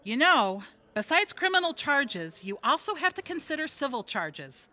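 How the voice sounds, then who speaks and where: A woman acts a brash, worldly female convict in a radio comedy. Her voice is bright and highish, swinging freely as she needles. The tone is blunt, wry and falsely sympathetic. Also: sing-song, normal speed, heavily acted. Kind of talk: audio theatre comedy acting.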